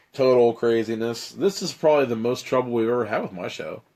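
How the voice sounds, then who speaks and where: A man with a raspy, strained voice, hosting a live stream where he reads an adventure story aloud and chats with viewers. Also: medium pitch, brisk, a little mellow. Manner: dramatic narration, then loose rambling chat. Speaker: host reading a choose-your-own-adventure book.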